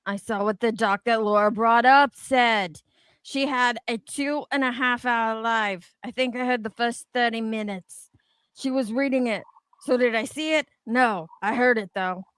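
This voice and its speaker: A woman with a fairly high voice reads comments aloud in a dramatic, casual way. She is irreverent and sweary, slipping into banter as she goes. The sound is very dry, with no room to it. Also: a little slow, a bit bright, no echo. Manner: irreverent, sweary, tries on rough accents for fun. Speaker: co-host reading comments aloud.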